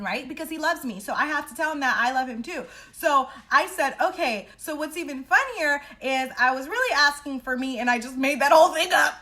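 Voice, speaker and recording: A young woman telling a personal story in a casual, chatty, dramatic way, with slangy, blunt talk and free swearing. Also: high voice. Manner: animated, dramatic re-enacting of dialogue. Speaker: storytelling vlogger.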